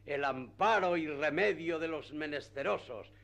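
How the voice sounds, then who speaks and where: An actor playing a pompous, flattering village priest in a declamatory radio theatre style. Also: male voice, a little high, a little slow, vocal fry. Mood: effusive, flattering. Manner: declamatory, grandiloquent. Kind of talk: radio theatre acting of classic literature.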